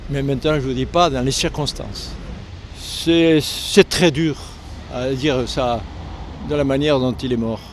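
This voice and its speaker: An older man with a southern French accent from Lozère speaks earnestly, pausing and restarting when the subject turns painful. His voice sits at an ordinary middle pitch. Faint urban noise hums around him outdoors. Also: fairly even intonation. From Lozère, southern France. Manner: earnest, halting when emotional. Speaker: interviewee, retired air force serviceman. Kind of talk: street interview. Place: outdoors on a city street.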